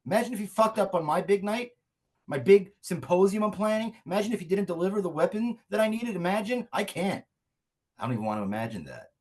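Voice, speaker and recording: A man's voice: high-pitched, big pitch swings, fast talker, darkish tone. A man reading the part of a menacing, theatrical crime boss in a script, dragging out his taunting threats. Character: menacing, theatrical male crime boss running music industry. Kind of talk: virtual table read of a script.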